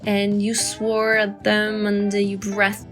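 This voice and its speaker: A woman's voice: mid-range voice, completely flat delivery, takes it slowly. A woman acting a playful, thoughtful young woman in an intimate bedtime audio drama. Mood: teasing. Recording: soft guitar music behind the voice.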